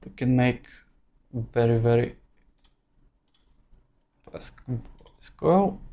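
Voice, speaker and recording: Slow, halting male tutorial instructor. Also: medium pitch, expressive, vocal fry, dull audio. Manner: halting, thinking aloud in short phrases. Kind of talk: unscripted screen-recorded tutorial narration.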